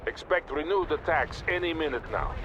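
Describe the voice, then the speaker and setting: A man's voice: fairly high pitch, expressive, normal speed, highs cut off, close to an everyday voice. A man playing a stern, composed Soviet field commander with a Russian accent, giving clipped, formal orders in a military video game. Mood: urgent orders. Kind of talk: scripted video game military radio dialogue. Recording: loud battle noise behind. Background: artillery fire, explosions and gunfire.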